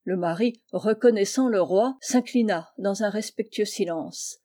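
Female audiobook narrator, mellow mid-range voice, measured literary storytelling of historical material. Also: medium pitch. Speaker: audiobook reader.

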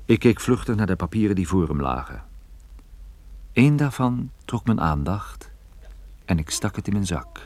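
An actor playing a wry private detective who narrates his own story in a measured, scripted radio drama style. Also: low-pitched, big pitch swings, darkish tone, dry recording, exaggerated voice. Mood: measured, suspenseful. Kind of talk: scripted first-person radio play narration.

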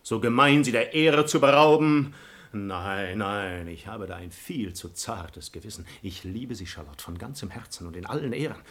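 A man's voice: on the low side, lively intonation, normal speed, fairly bright tone. An actor playing an ardent, deceitful nobleman and seducer in a classic radio comedy, with flowery, theatrical speech. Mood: earnest wooing. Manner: flowery, silver-tongued, theatrical. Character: smooth aristocratic male seducer, ardent, deceitful, imperious when crossed. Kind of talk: classic comedy radio play acting, declamatory.

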